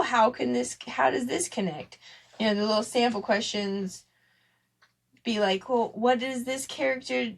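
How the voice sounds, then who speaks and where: Higher-voiced woman chatting casually. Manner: rambling, thinking aloud. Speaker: podcast co-host. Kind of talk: casual podcast chat about family devotions.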